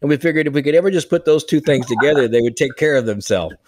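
An older man talks into a headset from a room at home, his voice dry and close with little room sound. He speaks earnestly and emphatically, pressing his point of encouragement home in a relaxed conversational podcast. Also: a little high, fast, no room sound. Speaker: church revitalization leader, co-host. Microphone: headset on a video call.

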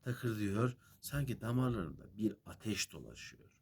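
A man's voice: lowish voice, animated, unhurried, slightly rough, heavily acted. A man voicing an anxious, fearful mother in a fairy tale, with a fretful manner. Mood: fearful, uneasy.

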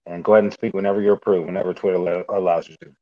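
A man hosting a live audio Q&A, talking in quick, repetitive bursts with fillers and restarts. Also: deep voice, level tone, no room sound. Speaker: host. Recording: dull, dry online audio.

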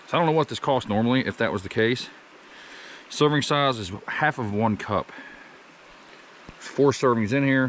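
A man in his thirties talking to the camera while cooking outdoors at a wooded campsite, rambling and easygoing as he thinks out loud. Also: on the low side, slight background noise. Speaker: camping cook vlogger.

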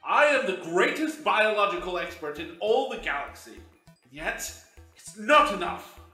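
A man's voice: sits high, very animated, normal speed, sharp tone, full character voice. A man playing a gloating, boastful mad-scientist villain in an audio drama, pompous and given to monologues. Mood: grandiose. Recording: roomy echo with music behind.

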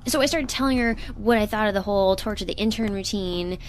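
A woman playing an earnest, excitable young intern who talks casually and emphatically in an audio drama. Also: medium pitch, quick pace, a little hiss or hum, lightly acted. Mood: indignant. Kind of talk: naturalistic audio drama acting.

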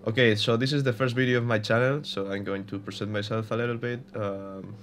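A man's voice: fairly low pitch, steady tone, fairly quick, a bit bright, a little hiss or hum. A young man from Spain with a Spanish accent, giving casual, hesitant commentary over gameplay with many fillers and restarts. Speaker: gaming YouTuber.

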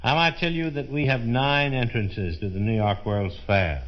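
An American man speaking as a tour guide in a scripted 1930s radio presentation, formal, measured and declamatory. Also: mid-range voice. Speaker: fair official acting as tour guide. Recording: dull, muffled 1930s radio broadcast.

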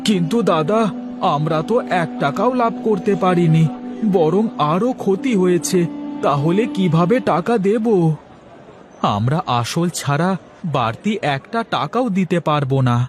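A man's voice: high voice, lively intonation, measured pace, over music. A man voicing a humble, worried village vegetable seller in a cartoon, plain-spoken and deferential. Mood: anxious. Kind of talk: cartoon character dialogue.

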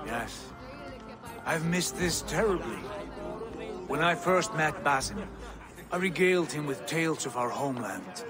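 An actor playing a hearty, boisterous Viking prince in a video game cutscene, laughing often. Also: male voice, fairly high pitch, expressive, measured pace, pauses often, music in the background. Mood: earnest.

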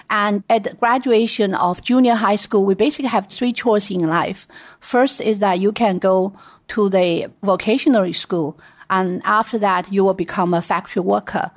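A middle-aged woman with a Chinese accent, a guest telling stories in a relaxed interview, laughing lightly now and then. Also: medium pitch, normal speed, no room sound. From China. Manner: chatty storytelling with light laughs. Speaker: guest, venture investor. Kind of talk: casual interview.

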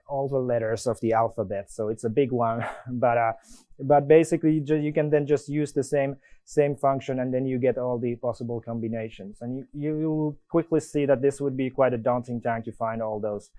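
A man with a Finnish accent gives a live coding demo at a conference. His voice is mellow and dark, and the sound is dry and close. He stops and restarts often and fills gaps with "uh". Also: mid-range voice, dry recording. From Finland. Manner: halting, many restarts and fillers. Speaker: conference presenter. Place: conference room.

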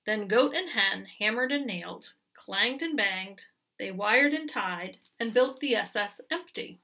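A woman teacher reading a children's picture book aloud with expressive, rhythmic storytelling. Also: medium pitch, unhurried, distant mic.

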